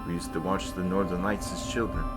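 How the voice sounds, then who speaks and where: A man in a dramatic reading playing a hard, taunting polar explorer with grim philosophy, in a theatrical, cutting and deliberate delivery. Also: low-pitched, steady tone, natural voice. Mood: somber, musing. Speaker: stage actor. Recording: loud music behind the voice. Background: music.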